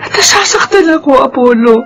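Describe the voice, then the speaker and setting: A woman's voice: high voice, expressive, fairly quick, fairly bright tone, soft background music. A woman playing an emotional single mother of grown children in a radio drama, with a Filipino accent. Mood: tearful, guilty.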